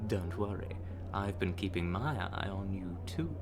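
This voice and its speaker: A man's voice: sits low, lively intonation, a little slow, noisy background. A man playing a charming, teasing, smitten young bard in an intimate ASMR romance roleplay, coaxing the listener. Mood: playful teasing. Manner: teasing, coaxing, with soft chuckles and hesitant asides.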